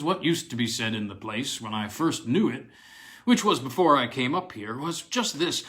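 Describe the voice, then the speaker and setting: A man voicing a chatty English gentleman in a storybook, recounting old country gossip in a halting, rambling, self-correcting way. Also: medium pitch. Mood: reminiscing. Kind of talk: acted dialogue in a read-aloud ghost story.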